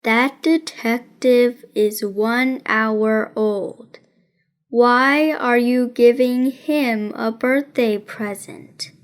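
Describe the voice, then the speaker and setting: A woman voicing a serious young boy detective in a storybook, deadpan and matter-of-fact. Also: a little high, extremely slow. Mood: deadpan, puzzled.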